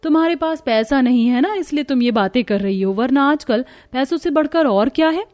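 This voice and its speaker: A woman's voice: high-pitched, animated, brisk, darkish tone, voice pushed up. Woman voicing a haughty, taunting rich young daughter-in-law. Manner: taunting, condescending.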